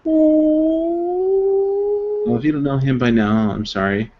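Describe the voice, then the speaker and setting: A man's voice: very high voice, big pitch swings, takes it slowly. Man, casual co-host, playful rambling, muffled video-call sound, faint noise. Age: adult father of two. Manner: rambling, playful, filler-heavy. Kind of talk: casual joking web-show chat.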